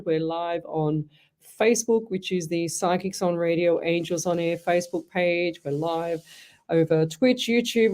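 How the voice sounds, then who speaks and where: A woman's voice: lowish voice, dark tone. Chatty Australian woman radio host. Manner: chatty, rambling, self-interrupting. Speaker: radio host and psychic medium. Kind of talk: live radio call-in show.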